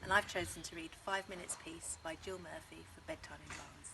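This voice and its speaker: A British woman narrating a children's story in an expressive, clearly paced teacher's manner. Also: low voice, a little fast, background noise. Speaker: assistant head teacher reading a story.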